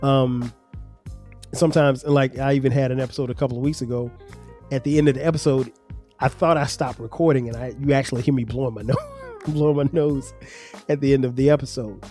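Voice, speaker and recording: A man's voice: mid-range voice, steady tone, a little fast, mellow, faint music behind. A man with a South Carolina accent and a slightly nasal voice, rambling conversationally as a podcast host, a little congested. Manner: rambling, conversational, many restarts. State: congested sinuses. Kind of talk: casual solo podcast talk.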